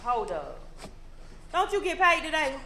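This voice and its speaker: A woman's voice: high-pitched, huge pitch swings, unhurried, vocal fry, slight background noise, full character voice. Woman acting a cruel, volatile mother. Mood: menacing. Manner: scornful, shifting from mock piety to shouting insults. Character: harsh abusive mother, middle-aged, volatile and cruel. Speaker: stage actor. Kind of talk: recorded stage play performance. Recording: echoey stage from a distance.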